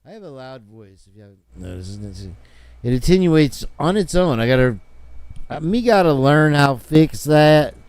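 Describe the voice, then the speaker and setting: A man's voice: on the high side, big pitch swings, moderate pace, a little mellow, clear, resonant tone. A man hosting a casual livestream, joking and rambling and laughing often. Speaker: host.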